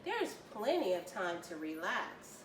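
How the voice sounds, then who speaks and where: Woman reading as a storybook hare, slow and lively. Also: lowish voice, pitched below the performer's own voice. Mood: cocky, breezy. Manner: boastful, breezy. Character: cocky, show-off young male hare, storybook animal. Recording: roomy, distant mic, faint noise.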